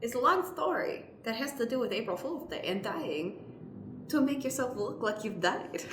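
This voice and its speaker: Halting, earnest lady lawyer, acted by a woman, higher-voiced, roomy sound. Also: lively intonation, close to an everyday voice. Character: Mina Matrix, earnest, halting female defense lawyer. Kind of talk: improvised radio play acting. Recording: roomy echo, faint background noise.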